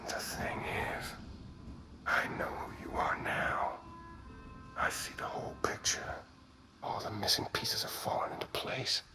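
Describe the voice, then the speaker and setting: A man playing an obsessed, grim investigator in a whispered ASMR dramatic monologue, speaking deliberately and with menace. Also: lightly acted. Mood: accusing menace.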